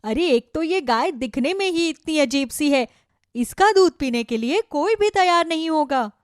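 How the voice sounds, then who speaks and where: Scornful cartoon village gossip, acted by a woman: high voice, scolding, nosy, dry recording. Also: expressive, normal speed, no room sound. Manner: scolding, exclamatory.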